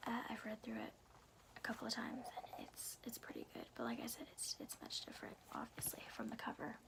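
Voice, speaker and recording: A woman whispers softly and breathily, as in an ASMR bedtime reading. Her voice sits a little high, with a crackle of fry at the edges. She takes her time and keeps it informal. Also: big pitch swings, quick pace. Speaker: ASMR host reading a book aloud. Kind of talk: whispered ASMR, casual rambling chat and storybook reading.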